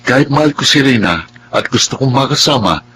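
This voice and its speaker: A man's voice: mid-range voice, expressive, brisk, noisy background, natural voice. A man with a Filipino accent acting an earnest, honest friend in love in a radio drama. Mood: earnest, defensive. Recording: music bed under the voice.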